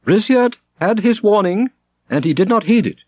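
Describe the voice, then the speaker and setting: A man's voice: high voice, lively intonation, moderate pace, a little mellow, no room sound, voice pushed up. A man voicing a pompous, imperious monastery prior in an audiobook, declamatory and commanding. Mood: solemn, declaiming. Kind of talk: audiobook character acting.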